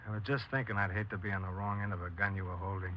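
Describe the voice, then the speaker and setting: An actor playing a vain, breezy small-time burglar in a 1950s radio crime drama, with slangy, sly, casual talk. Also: male voice, low-pitched, little pitch movement, racing, natural voice. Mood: needling. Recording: muffled 1950s radio broadcast, faint noise.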